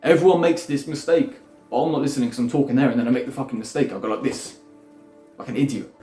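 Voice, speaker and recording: A man's voice: mid-range voice, quick pace, mellow. A young man lecturing bluntly in a rambling self-improvement monologue, swearing freely. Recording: echoey room with faint music.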